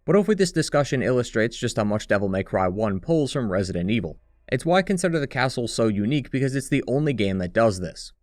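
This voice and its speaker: A man's voice: mid-range voice, very animated, fast, few pauses, darkish tone, dry recording, studio quality. A man giving a scripted narration for a video game retrospective.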